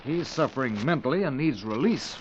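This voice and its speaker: A man's voice: medium pitch, lively intonation, fairly quick, lightly acted. An actor playing an earnest, courteous doctor in an old radio drama, anxious and hesitant in his phrasing. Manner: hesitant, polite, repeats words. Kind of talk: 1950s radio drama acting. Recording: dull, faintly noisy old radio recording.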